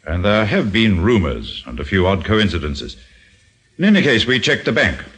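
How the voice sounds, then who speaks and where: An actor playing a coolly probing police inspector in a radio drama, formal and authoritative. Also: male voice, lowish voice, very animated, normal speed, fairly bright tone, breathy edge, exaggerated voice. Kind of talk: old-time radio drama acting.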